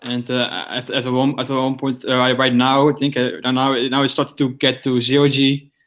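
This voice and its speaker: A man with a Dutch accent, talking haltingly in a casual online voice chat. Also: medium pitch, monotone, a bit bright. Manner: halting, many restarts. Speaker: call guest.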